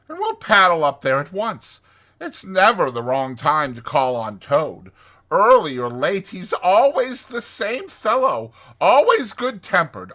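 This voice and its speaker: A good-natured storybook water rat, as a man puts him on, hearty and chummy. The voice sits high above a normal talking pitch and is bright, with big swoops. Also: full character voice. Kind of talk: dramatized storybook reading.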